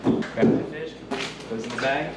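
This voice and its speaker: A man working in a fish cleaning room, calmly explaining each step of the process. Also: mid-range voice, unhurried, roomy sound, far from the mic, very noisy. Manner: matter-of-fact, step-by-step explaining. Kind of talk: casual walkthrough.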